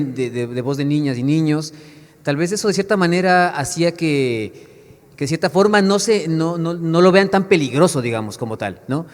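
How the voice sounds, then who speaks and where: A man moderating a book fair panel, speaking hesitantly with many fillers and restarts. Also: fairly high pitch. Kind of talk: panel discussion. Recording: echoey hall sound.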